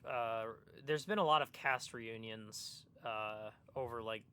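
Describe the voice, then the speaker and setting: A man with a bright, smooth voice at a middling pitch chats casually as a co-host. He hesitates often, thinking aloud as he goes. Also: unhurried. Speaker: podcast co-host. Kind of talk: casual chat.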